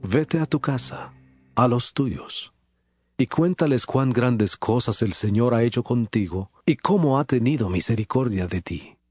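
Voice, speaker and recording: A man playing an authoritative teacher and healer in a dramatized scripture audiobook, solemn, measured and commanding. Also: medium pitch, expressive, darkish tone, slightly rough, no echo, clean studio sound, faint music behind. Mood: calm authority.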